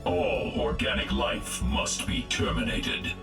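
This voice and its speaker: An actor playing a cold, menacing artificial intelligence robot villain in a video game, speaking formally and imperiously with metallic processing. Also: male voice, slow pace. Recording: echoing processed voice over loud music.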